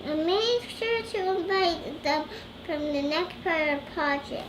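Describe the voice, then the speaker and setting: A young girl with a high voice reads a storybook aloud. She goes slowly and hesitantly, often stumbling and repeating phrases. The recording is roomy and echoing, with clear noise behind her. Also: lively intonation. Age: child. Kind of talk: halting read-aloud from a storybook.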